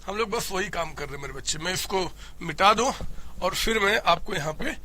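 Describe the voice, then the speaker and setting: Hoarse, animated male teacher. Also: sits high, a little fast. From India. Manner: animated, playful, addresses students as "my child"; voices students' questions himself. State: hoarse with a cold. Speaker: accounting teacher. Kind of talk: classroom lecture.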